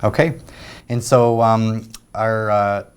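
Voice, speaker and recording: A man's voice: on the low side, little pitch movement, takes it slowly, smooth. A man hosting a university research interview, asking questions with friendly prompts and frequent fillers. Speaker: host and network coordinator.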